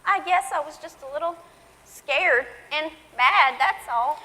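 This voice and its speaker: A woman's voice: high voice, measured pace, bright, forceful tone. A woman playing a feisty but tender elderly mother in a stage comedy, with a wry, theatrical delivery. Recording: echoey hall with long reverb.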